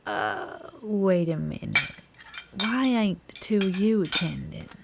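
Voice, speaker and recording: A woman playing a sensible, fed-up country woman in a comedic audio drama, halting and emphatic. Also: medium pitch, sing-song, creaky, noisy background, full character voice. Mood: puzzled.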